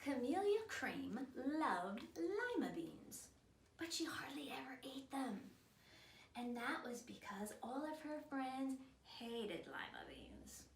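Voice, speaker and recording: A woman reads a children's story aloud with theatrical flair. Her high voice swoops widely and takes its time over phrases. She sounds a little distant in an echoey, noisy room. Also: very animated, takes it slowly. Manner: theatrical, dramatic emphasis. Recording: echoey room, distant mic, clear noise.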